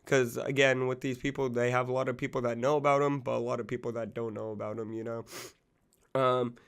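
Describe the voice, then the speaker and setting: Young man in his twenties, a little worn-down voice from being sick, loose rambling talk, monotone delivery, smooth low rasp. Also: fairly quick. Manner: rambling, loose, full of fillers and profanity. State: recovering from illness, losing his voice. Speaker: music channel host. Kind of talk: casual music commentary.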